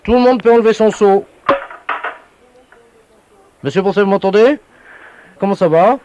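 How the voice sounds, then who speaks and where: A man playing a brisk, earnest utility official on a prank call, giving residents instructions in a businesslike deadpan. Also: high-pitched, noisy background, natural voice. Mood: directing, official. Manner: businesslike, instructing, repeated tag questions. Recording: dull, lo-fi radio prank recording.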